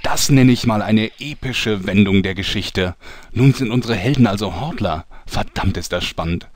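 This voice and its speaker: A man's voice: fairly low pitch, normal speed, slight background noise, lightly acted. A man playing a jovial, melodramatic narrator of a parody audio serial. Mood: dramatic. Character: melodramatic, jovial male storyteller.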